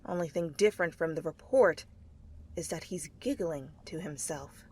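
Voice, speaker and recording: A woman reading fan fiction aloud as an expressive second-person narrator in an ASMR style. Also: low-pitched, moderate pace, slightly rough. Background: faint music.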